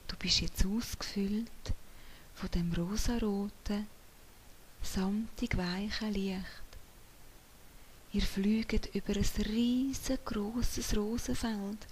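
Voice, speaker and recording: Meditation guide, woman, soft whisper, unhurried and soothing, faint hiss. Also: mid-range voice, rough recording. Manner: slow, soothing delivery.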